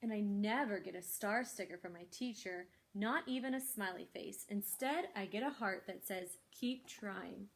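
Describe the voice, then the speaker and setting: A woman's voice: mid-range voice, normal speed, creaky, hardly any pauses, natural voice. Woman reading as an earnest, reluctant little girl. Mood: discouraged, wistful. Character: young girl reluctant reader, earnest, anxious, determined.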